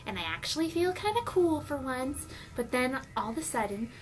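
A woman in an amateur cartoon fan dub voicing a snooty young girl bully who talks in a mocking, drawn-out, theatrical way. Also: sits high, expressive, low-quality recording. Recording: music behind the voice.

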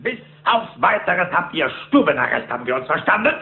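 An actor playing a stern, blustering old rich uncle duck in a cartoon audio drama, irascible and scolding. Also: male voice, high-pitched, sing-song, brisk, creaky, full character voice. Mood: scolding, indignant. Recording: narrow-band echoey old cassette.

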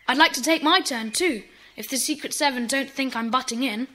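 A woman's voice: sits high, fairly quick, a bit bright. A woman playing an earnest, polite British schoolboy in a children's audio drama. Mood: earnest, eager.

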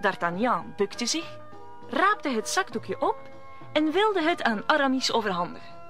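Female narrator for audio drama, slightly high, bright storytelling read. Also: sing-song, a little slow, faint music behind.

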